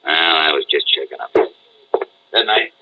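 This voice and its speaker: An actor playing a suspicious, brusque American sergeant with an interrogating manner in a 1940s-style radio drama. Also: male voice, very deep voice, expressive, slow, distant mic, dull audio, full character voice. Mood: suspicious, curt.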